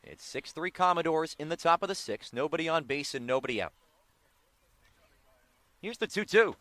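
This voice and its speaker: An American man doing live play-by-play baseball commentary on the radio, with excited calls on big plays. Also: on the high side, moderate pace, no room sound.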